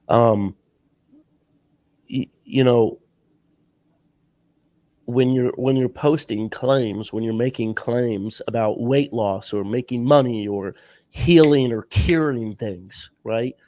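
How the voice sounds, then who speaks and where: A man's voice: medium pitch, slow, pauses often, dark tone. A man hosting an interview podcast, stuffed up with a sore throat, giving earnest coaching advice in a casual chat. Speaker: podcast host.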